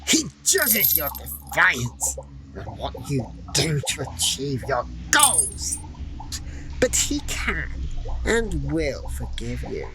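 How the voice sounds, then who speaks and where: An actress playing a defiant, wise captive holy woman in a fantasy audio drama, measured and gently reproving. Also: deep voice, huge pitch swings, full character voice. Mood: solemn, pleading. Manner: measured, sighing, pleading, wryly defiant. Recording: clear noisy ambience behind the voice.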